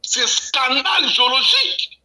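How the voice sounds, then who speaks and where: A man's voice: extremely high pitch, big pitch swings, measured pace, bright, forceful tone, roomy sound, poor audio. A man from Congo in heated political talk, repeating phrases and ending points with "hein?". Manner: repeats himself, punctuates with "hein?". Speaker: guest commentator.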